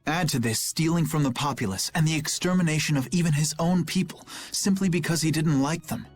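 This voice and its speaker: An actor playing a principled young knight captain in a video game, formal, solemn and sincere. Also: male voice, fairly high pitch, brisk, soft background music, natural voice. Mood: troubled, grave.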